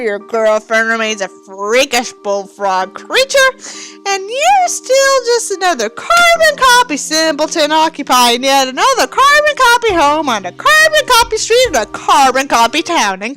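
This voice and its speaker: A woman's voice: extremely high pitch, pushed and bright, pitched above the performer's own voice, exaggerated voice. A woman voicing a bitter, self-righteous teenage boy in an overwrought, mock-dramatic audiobook reading, stretching words for emphasis.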